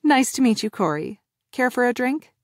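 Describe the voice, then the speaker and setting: A woman's voice: a little high, lively intonation, normal speed, no room sound, clean studio sound, voice pushed up, lightly acted. A woman voicing a flustered, skeptical woman of thirty, raising her voice with surprised questions. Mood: uncertain. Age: 30s.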